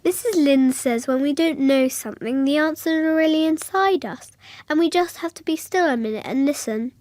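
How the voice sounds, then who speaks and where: A woman's voice: high-pitched, normal speed, darkish tone, no room sound, clean studio sound, lightly acted. A female performer playing an imaginative, earnest little schoolgirl in a radio drama, rambling and literal-minded as she talks and narrates.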